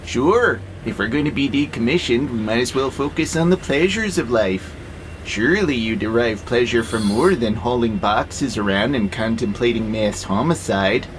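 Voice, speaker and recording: A man plays a wry warehouse robot who prefers peace in an audio drama. He talks in a mid-pitched, laid-back voice with a dry, skeptical edge. A faint noise sits behind him. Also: expressive, normal speed, natural voice, poor audio. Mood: reasoning, calm. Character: wry, easygoing pacifist male warehouse robot who loves nature.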